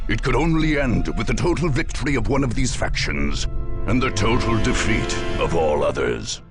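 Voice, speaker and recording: A man narrating a video game as a grave, portentous chronicler of war, in a deliberate storytelling style. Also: fairly low pitch. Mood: grave, ominous. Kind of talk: dramatic scripted game narration.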